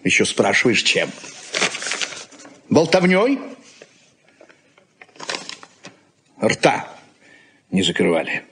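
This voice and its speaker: An actor in a Soviet-era radio play, playing a busy, touchy middle-aged husband who grumbles and talks in a curt, sardonic way. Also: male voice, fairly low pitch, wildly swooping pitch, measured pace, a bit bright, a little hiss or hum, exaggerated voice. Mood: grumbling. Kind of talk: Soviet 1980s radio drama acting.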